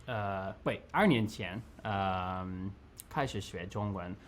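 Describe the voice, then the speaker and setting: A man chatting as a guest on a video call, speaking haltingly with many fillers. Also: low voice, slight background noise. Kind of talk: casual multilingual chat.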